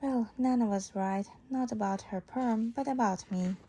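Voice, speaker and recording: A woman reading a storybook aloud in an informal, expressive way, pausing to explain and check that the listener is following. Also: fairly high pitch, slight background noise, low-quality recording.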